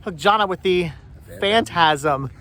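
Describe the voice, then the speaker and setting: A middle-aged man hosting a casual thrifting vlog, chatting haltingly and often repeating his words. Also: high-pitched, sharp tone. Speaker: vlog host. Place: out and about at flea market.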